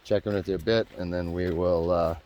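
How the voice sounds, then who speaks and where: A man narrating his backpacking trip to the camera outdoors on a trail, chatty and self-deprecating, his voice going hoarse. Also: deep voice, steady tone, fairly quick, a little mellow, dull audio. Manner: chatty, self-deprecating, addresses viewers directly. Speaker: backpacking vlogger. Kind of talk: casual vlog narration on the trail. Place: outdoors on a hiking trail by a creek.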